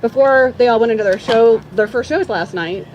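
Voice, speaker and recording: Female academic host, slightly high register, informal public speaking with pauses and repeats, recorded outdoors with light background noise. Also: a little mellow, poor audio. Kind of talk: informal spoken address to a live audience. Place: outdoors at a campground. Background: faint outdoor ambient noise.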